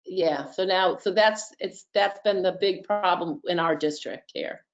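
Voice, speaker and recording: A woman talking casually as a school nurse in an online meeting, frank but a little halting. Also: on the low side. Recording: dull online meeting audio.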